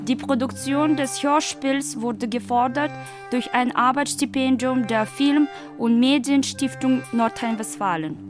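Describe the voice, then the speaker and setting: A woman's voice: high voice, a little slow. A woman speaking in a radio play production. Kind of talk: retelling a story and reading credits in a radio play. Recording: clear music bed behind voice.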